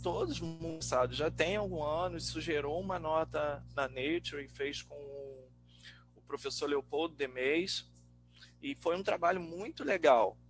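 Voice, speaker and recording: A Brazilian man, a researcher and teacher, talking informally and reflectively in a webinar, halting as he thinks aloud. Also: a little high, a bit bright. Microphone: online webinar call. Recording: noisy webinar audio with hum.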